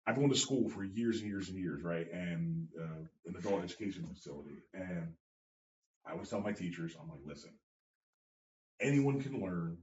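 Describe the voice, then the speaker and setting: Low-voiced man in a roomy room. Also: lively intonation, moderate pace, darkish tone, slight background noise. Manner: blunt, swears, acts out quotes. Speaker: podcast host, jiu-jitsu instructor. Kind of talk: casual chat. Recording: roomy, slightly dull sound.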